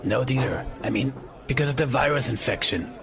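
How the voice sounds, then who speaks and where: Man playing curious pub mate, gravelly mid-range voice, casual and uneasy, audio drama with busy crowd behind. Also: mid-range voice, fairly quick, natural voice. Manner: casual, questioning. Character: inquisitive, anxious male pub companion. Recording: crowded room noise behind.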